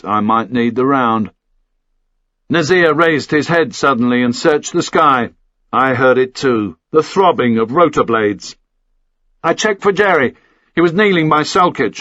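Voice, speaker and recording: Man, higher-than-average pitch, scripted thriller narration, muffled recording. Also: a bit bright, dry recording. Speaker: audiobook narrator.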